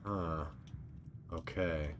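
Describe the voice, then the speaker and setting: Slow, very deep-voiced male gamer. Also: fairly even intonation, noisy background. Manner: muttering to himself in short fragments. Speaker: gaming streamer. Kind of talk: casual let's-play commentary. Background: faint game music.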